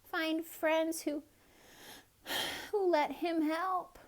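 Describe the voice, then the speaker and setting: A woman voicing a small, earnest, tearful squirrel in a children's storybook, squeaky and drawn out. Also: expressive, exaggerated voice. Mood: sad, resigned. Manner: squeaky, sighing, drawn-out delivery.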